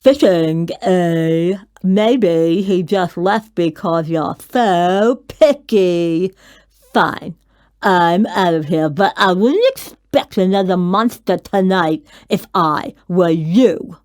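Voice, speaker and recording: A woman's voice: fairly low pitch, darkish tone, no echo, clean studio sound, voice pushed down. A woman voicing a big scruffy boy monster in a storybook, slobbery and whiny, trying to sound menacing. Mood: whiny, huffy. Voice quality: slurping, slobbery. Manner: drawn-out, would-be menacing.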